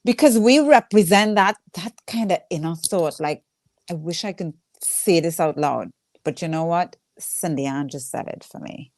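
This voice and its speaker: A woman's voice: on the low side, animated, moderate pace, a little mellow, dry recording. A woman with a thick Trinidadian accent speaking candidly in a casual interview as a stand-up comedian, acting out reactions as she tells stories.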